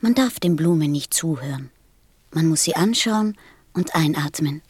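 Radio play: a polite, innocent boy prince, serious, slightly low and husky. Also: lively intonation, moderate pace, darkish tone, no room sound. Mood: regretful. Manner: polite, serious, childlike directness. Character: earnest, innocent little prince, a young boy.

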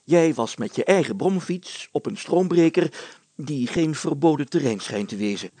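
A man's voice: on the high side, animated, normal speed, warm tone, no room sound, voice pushed up. A man voicing a pompous, jovial village mayor who speaks emphatically and hems solemnly in a storybook reading. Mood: official, blustery.

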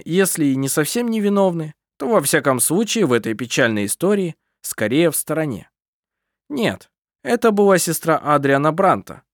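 Shrewd calm police chief voiced by the reader, measured, lively intonation, dry close sound. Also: medium pitch, dry recording.

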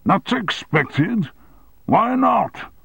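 A man's voice: on the low side, big pitch swings, moderate pace, slightly rough, no echo, studio quality, full character voice. A man voicing a blustering, domineering male media tycoon who bellows his orders. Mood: outraged. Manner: bellowing, brusque.

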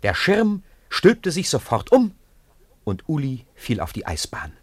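A man's voice: mid-range voice, huge pitch swings, measured pace, husky, dry recording. A man narrating a scripted story for a radio play.

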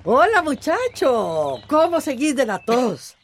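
A woman's voice: sits high, very animated, slow pace, slight background noise, heavily acted. An actress playing a caring, teasing Central American countrywoman in a radio drama, affectionate and coaxing. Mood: warm, teasing. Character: motherly, chatty village countrywoman, caring and teasing.

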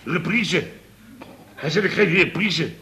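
Blustering, flustered boss, very bright. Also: male voice, fairly high pitch, unhurried, slight background noise. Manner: stammering, repetitive, excitable. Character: blustering, flustered male building contractor boss. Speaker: radio comedy actor. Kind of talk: Dutch radio comedy serial acting.

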